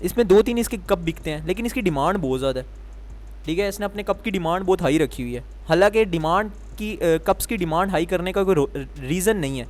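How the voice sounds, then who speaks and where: Male trading teacher, sore throat, slightly high voice, question-and-answer explaining. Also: lively intonation, brisk. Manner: explains step by step, asking the viewer questions and answering them himself. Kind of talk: explanatory lesson.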